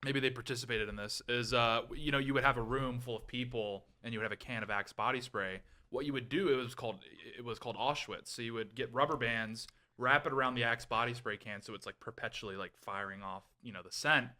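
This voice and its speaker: A man's voice: medium pitch, steady tone, fast, hardly any pauses, sharp tone. A young man on a podcast panel, telling anecdotes and bantering casually in a wry, mock-serious way, often repeating himself.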